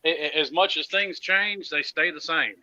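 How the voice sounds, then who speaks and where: A man in his thirties with a very bright, cutting voice debates sports casually. He is blunt and emphatic, pitched a little high, over slightly dull voice chat audio. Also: moderate pace, a little hiss or hum. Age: 30s. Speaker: panelist on college football fan talk show.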